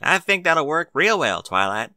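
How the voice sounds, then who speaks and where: A man's voice: on the high side, big pitch swings, normal speed, pushed and bright, dry recording, studio quality, voice pushed up, exaggerated voice. A man voicing a practical farm mare, a protective, exasperated big sister with plain-spoken, folksy talk. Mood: grateful.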